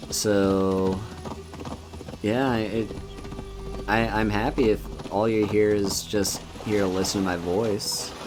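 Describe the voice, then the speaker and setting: A man streaming a video game, chatting casually in a relaxed, soft-spoken and musing way as he plays. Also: fairly low pitch, background noise. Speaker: VTuber streamer. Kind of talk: casual gameplay commentary. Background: game music.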